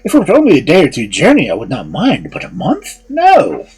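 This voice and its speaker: A man's voice: a little high, wildly swooping pitch, brisk, a little mellow, voice pushed up. A man voicing a blunt, reluctant male stranger who serves as a guide in a fairy tale.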